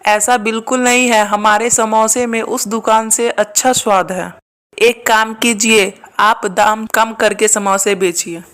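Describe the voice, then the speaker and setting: A woman's voice: on the high side, steady tone, unhurried, fairly bright tone. A woman playing a practical, concerned village wife with an earnest manner in a cartoon moral story.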